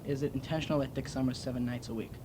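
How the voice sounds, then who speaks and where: A man's voice: fairly even intonation, racing, dark tone. A young man asking informal interview questions as a student newspaper interviewer. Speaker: interviewer for a high school newspaper. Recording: noisy, rumbling 1970s tape recording.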